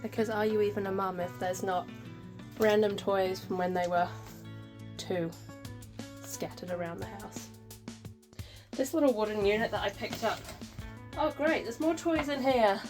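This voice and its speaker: A woman's voice: medium pitch, a little slow, over music. Chatty nasal woman with a cold. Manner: chatty, rambling, frequent ums. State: stuffy nose, nasal from a cold. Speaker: gardening vlogger. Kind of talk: casual vlog chat. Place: backyard greenhouse.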